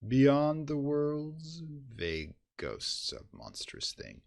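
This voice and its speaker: A man giving a dramatic, theatrical horror reading in an intense, brooding manner, swelling from hushed passages to loud ones. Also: on the high side, huge pitch swings, takes it slowly, creaky. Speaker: reader of a horror story.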